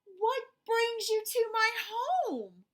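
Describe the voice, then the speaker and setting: A woman's voice: very high-pitched, extremely slow, a bit bright, pitched above the performer's own voice, full character voice. A woman voicing a kindly, hearty female cook in a storybook read-aloud. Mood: hearty, welcoming.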